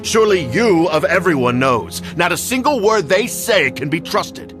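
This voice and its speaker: Game role: aging self-serving clan lord, obsequious blusterer, lively high-leaning delivery over clear music. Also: male voice, normal speed. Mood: blustering. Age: older. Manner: blustering, indignant, fawning to superiors. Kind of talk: dramatic video game voice acting.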